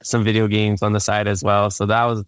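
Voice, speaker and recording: A young man from Hawaii, a native Hawaiian speaker, talks through his story in a low, fairly level voice. His tone is smooth and clear, and the recording is dry and close. He sounds laid-back and often repeats a word as he starts a sentence. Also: monotone, a little fast, dry recording. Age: young adult. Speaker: podcast guest. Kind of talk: casual interview storytelling.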